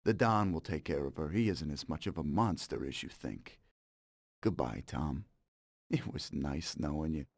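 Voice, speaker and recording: A man's voice: very deep voice, expressive, moderate pace, gravelly, no echo, heavily acted. An actor playing a smug, cold-blooded mobster in a game cutscene, mocking and sardonic as he menaces an old partner. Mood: coldly sardonic. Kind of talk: dramatic video game cutscene acting.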